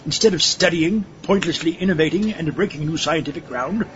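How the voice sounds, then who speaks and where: A man's voice: fairly high pitch, a little fast, lightly acted. A man playing a vain, pompous mad scientist in a comic audio drama, theatrical and sarcastic in manner. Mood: scornful boasting. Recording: dull lo-fi recording, faint noise.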